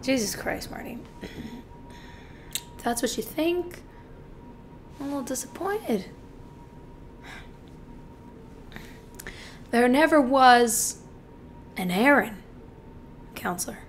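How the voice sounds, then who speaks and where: Manipulative killer for a film monologue, female actor: high-pitched, highly animated, theatrical, faint background rumble. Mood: gloating, cold. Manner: foul-mouthed, taunting, theatrical, halting. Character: manipulative killer client, meek at first, then gloating and mocking. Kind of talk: dramatic film monologue performance. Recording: faint noise and low rumble.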